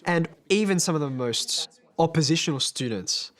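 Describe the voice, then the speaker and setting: A man's voice: fairly high pitch, animated, moderate pace, a bit bright. A man, a maths teacher, talking earnestly and reflectively in a documentary interview. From Australia. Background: background music.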